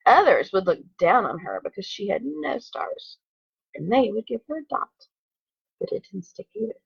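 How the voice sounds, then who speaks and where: A woman teacher reading a children's story aloud on a phone livestream, expressive, with asides to the viewers. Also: medium pitch, normal speed, vocal fry, slightly rough, no room sound, muffled recording.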